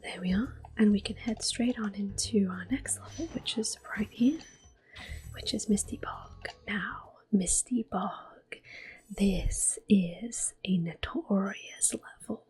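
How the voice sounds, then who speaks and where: A woman giving hushed, unhurried ASMR commentary on a video game as she plays it, speaking in soft whispers. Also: mid-range voice, rough recording. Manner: hushed, unhurried narration of own play. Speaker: ASMR gameplay host.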